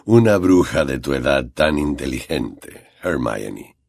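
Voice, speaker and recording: A man voicing a weary, soft-spoken werewolf teacher. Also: extremely low pitch, takes it slowly, gravelly, no room sound, studio quality, voice pushed down, full character voice. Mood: calm pleading. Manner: quiet, calm, soothing.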